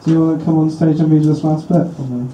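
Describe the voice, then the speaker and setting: A man's voice: fairly high pitch, steady tone, fast talker, dark tone. A man performing comedy on stage, with a dry, mock-formal reviewer's delivery for a live audience. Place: indoor live comedy venue. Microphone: stage microphone on a stand, heard from afar. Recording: roomy, distant, noisy live stage recording.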